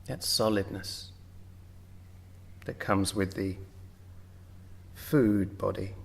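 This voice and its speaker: A man leading a guided yoga nidra meditation, speaking gently in short phrases with long, unhurried pauses. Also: deep voice, fairly even intonation, creaky. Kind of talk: guided meditation, slow spoken relaxation instruction.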